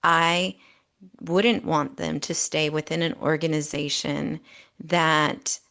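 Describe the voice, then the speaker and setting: A middle-aged woman co-hosting a podcast, speaking in an earnest, emphatic, conversational way with deliberate phrasing. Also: deep voice, steady tone, creaky, fairly bright tone. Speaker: podcast co-host. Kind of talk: conversational commentary.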